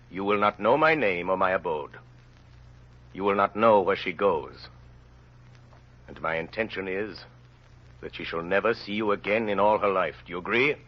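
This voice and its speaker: An actor playing a resolute, mysterious traveler in an old-time radio drama, terse, measured and quietly commanding. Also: male voice, low voice, slightly rough. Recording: muffled vintage radio broadcast.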